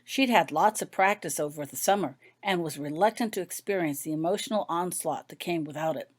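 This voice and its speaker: A woman's voice: fairly low pitch, fairly quick. A woman reading her own story aloud as scripted audiobook narration.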